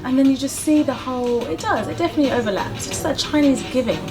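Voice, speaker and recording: A woman's voice: sits high, quick pace, music in the background. A young British woman, chatting in an interview about teaching and running a business, acting out voices as she talks. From Britain. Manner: chatty, animated, acts out quoted voices. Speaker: business owner and English teacher. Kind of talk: casual sit-down interview.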